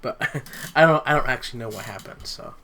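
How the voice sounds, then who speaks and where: A man co-hosting a casual podcast chat, thinking out loud as he explains. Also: mid-range voice, a little fast.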